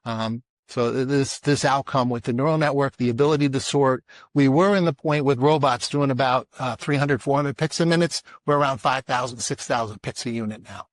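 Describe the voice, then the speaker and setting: A man's voice: medium pitch, brisk, dry recording. A man wrapping up his part of a conference panel talk, restarting phrases as he speaks. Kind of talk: industry presentation.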